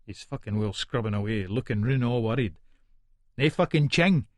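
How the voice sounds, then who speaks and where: A man's voice: medium pitch, expressive, moderate pace, darkish tone, dry recording, clean studio sound, lightly acted. An actor with an Edinburgh Scottish accent playing a violent, volatile hard man who narrates an audiobook in the first person, sweary and aggressive. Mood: angry, gruff. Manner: aggressive, menacing, sweary, colloquial.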